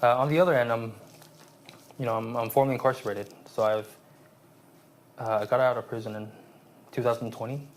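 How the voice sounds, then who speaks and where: A man in his late twenties from Vietnam, raised in San Jose, speaking as an interview guest in a halting, reflective way with many restarts. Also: medium pitch, steady tone, slight background noise. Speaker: guest, aspiring therapist.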